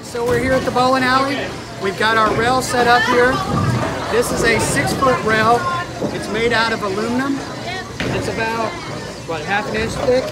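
A man vlog host casually explaining things at a bowling alley, relaxed and halting with fillers, filmed on a handheld phone. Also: extremely high pitch. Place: busy indoor bowling alley. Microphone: handheld phone camera. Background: loud bowling alley crowd and noise.

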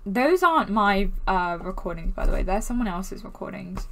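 A teenage young woman vlogger reacts in casual chat. She pokes fun at herself and banters easily, with a mid-pitched voice. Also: animated, normal speed, creaky, a bit bright. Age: teens, around 16. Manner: self-mocking, bantering. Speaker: vlogger reacting to own old videos.

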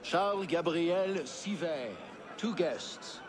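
A slow, officious palace doorman. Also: fairly high pitch, crowd noise, background noise. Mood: curt, official. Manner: curt, formal announcing. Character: officious, stern doorman checking invitations at a palace. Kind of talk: video game cutscene acting.

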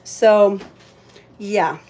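A woman's voice: mid-range voice, very slow, a little mellow, a little hiss or hum. A woman hosting a live auction in casual, chatty talk, thinking aloud as she handles items. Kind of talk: casual livestream sales chat.

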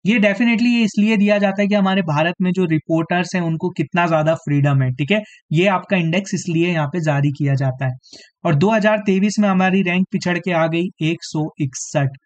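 A man with a fairly high voice leads an online quiz class for exam students. He is a bit hoarse and talks straight to his viewers, checking in with them after nearly every point. The sound is dull and lo-fi, like a streamed lesson. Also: a little fast. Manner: repeats "theek hai" as a tag, addresses students directly. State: slightly hoarse, clearing throat. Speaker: exam coaching teacher.

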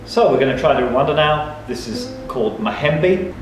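A man's voice: on the high side, lively intonation, normal speed, noisy background. Roomy-sounding man in a cafe. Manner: enthusiastic, thinks aloud with restarts. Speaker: coffee reviewer and show host. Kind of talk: casual tasting chat. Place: dim cafe interior, in a small room. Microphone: far camera mic. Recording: roomy, distant, with music behind. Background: background music.

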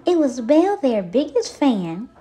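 A woman reading a picture storybook aloud to young children, with theatrical, drawn-out emphasis. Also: sits high, sing-song, mellow, husky.

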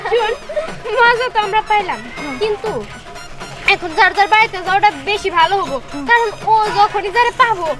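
Very high-pitched teasing Bangladeshi girl, acted by a woman. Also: a little fast, rough recording. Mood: bossy, teasing. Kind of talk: Bengali comedy short drama. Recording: outdoor film audio under loud music, crowd noise.

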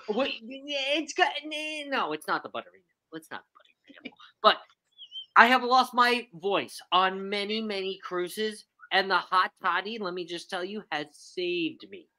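A woman hosting a live video-call game show, bubbly and emphatic, her voice a bit hoarse. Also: medium pitch, moderate pace, pushed and bright. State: hoarse from lost voice.

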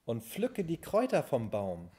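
A woman with a husky voice, patiently coaching a child to repeat lines in a casual, amused way. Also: rumbling low, wildly swooping pitch, unhurried, mellow.

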